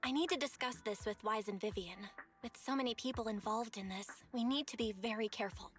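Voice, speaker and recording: A woman voicing a level-headed young go-between in a video game, expressive, friendly and direct. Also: a little high, a little fast, soft background music, natural voice. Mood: careful.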